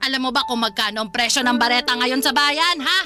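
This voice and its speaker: A woman's voice: high voice, quick pace, over music, close to an everyday voice. A woman playing a harsh, strict, religious old grandmother who nags and preaches in a radio drama. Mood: scolding. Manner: scolding, nagging, preachy.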